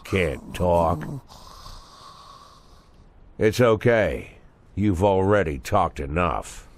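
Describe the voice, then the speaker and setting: Deep-voiced, menacing police boss. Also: male voice, lively intonation, slight background noise, exaggerated voice. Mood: mocking menace. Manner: mocking, measured monologue with rhetorical questions. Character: sardonic, menacing male police boss, profane and contemptuous. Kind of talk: dramatic video game cutscene acting.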